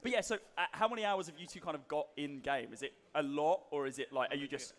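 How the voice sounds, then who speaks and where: A young man casting a live esports match, excitable and shouting at big plays, his voice going hoarse. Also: on the high side, fast talker. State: losing his voice. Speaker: esports caster. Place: live event stage.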